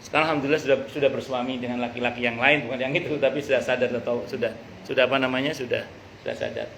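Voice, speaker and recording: A middle-aged man, an Islamic teacher giving an informal, conversational study talk with jokey anecdotes and some halting repetition. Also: medium pitch, animated, fairly bright tone. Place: a private home hosting a study gathering. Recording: noisy live recording.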